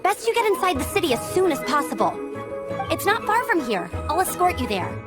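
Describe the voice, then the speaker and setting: A woman playing a spirited young female knight scout in a video game dub, earnest, brisk and dutiful, with music behind her. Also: very high-pitched, sing-song. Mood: brisk, guarded.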